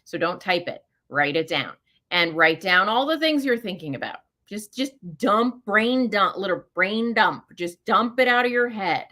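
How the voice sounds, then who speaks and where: Bright-voiced chatty woman host. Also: mid-range voice, lively intonation, a little slow. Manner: chatty, many fillers and self-corrections. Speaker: host answering listener questions. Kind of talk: casual live Q&A.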